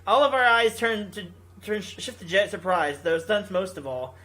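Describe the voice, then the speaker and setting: A man's voice: high voice, moderate pace, pushed and bright, low-quality recording. A man reading a visual novel aloud as a gaming video host, stumbling now and then and rereading his lines.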